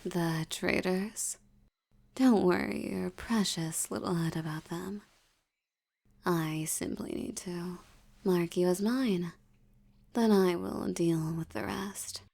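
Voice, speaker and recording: A woman playing a possessive, seductive vampire in an intimate audio roleplay, teasing and commanding, with a breathy voice that often drops toward a whisper. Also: fairly low pitch, a little mellow, heavily acted. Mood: smug, possessive. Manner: slow, teasing, commanding, condescending. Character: dominant, possessive, seductive wealthy female vampire.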